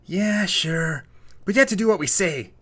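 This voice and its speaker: A man voicing a bossy, bold young girl in a children's story, with a loud, commanding manner. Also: high-pitched, expressive, normal speed, breathy edge, voice pushed up, exaggerated voice. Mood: snide, bossy.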